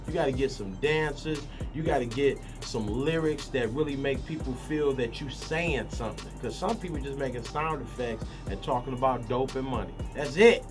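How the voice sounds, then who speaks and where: A man's voice: medium pitch, moderate pace, few pauses, over music. A man from Detroit with a Detroit accent, giving emphatic advice in an interview. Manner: advising, emphatic. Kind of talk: candid interview talk.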